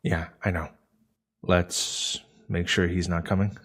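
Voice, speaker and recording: An actor playing a nervous, secretive space station saboteur with a cautious manner in an audio drama. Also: male voice, low voice, little pitch movement, takes it slowly, fairly bright tone, breathy edge, full character voice. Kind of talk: sci-fi audio drama acting.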